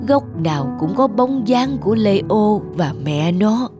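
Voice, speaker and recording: A woman narrating a cartoon fairy tale in a measured storytelling way. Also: mid-range voice, expressive. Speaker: narrator and voice actor. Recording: music bed under voice.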